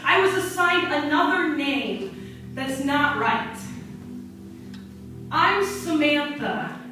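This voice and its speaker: A young woman recites slam poetry in a high voice. Her delivery is dramatic and emphatic. She is some distance from the microphone in a very echoey room, with music clearly audible. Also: expressive, slow pace. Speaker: spoken-word poet. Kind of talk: slam poetry recitation with casual spoken introduction. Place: large echoey indoor room at a retreat. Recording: very echoey room, far from mic.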